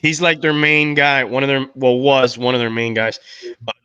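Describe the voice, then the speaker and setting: Blunt guy bantering about sports, bright punchy voice, dry sound. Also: medium pitch, flat delivery, moderate pace, smooth, no echo, highs cut off. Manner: blunt, profane, slangy. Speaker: podcast co-host.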